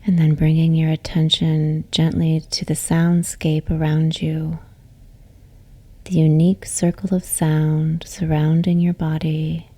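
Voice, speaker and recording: A woman yoga nidra teacher guiding a relaxation meditation in a soothing, gentle, unhurried way, with soft, breathy phrase endings. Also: deep voice, little pitch movement.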